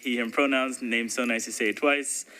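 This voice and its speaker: A man from Nashville, a community organizer, introducing himself at a meeting in a friendly, excited way. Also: mid-range voice, normal speed, clean tone. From Nashville, Tennessee.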